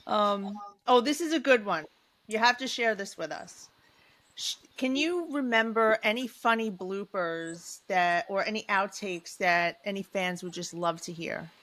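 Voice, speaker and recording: A woman's voice: mid-range voice, measured pace, a bit bright. A woman co-hosting a podcast, casually putting questions to a guest and chiming in. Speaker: podcast co-host. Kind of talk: casual interview chat.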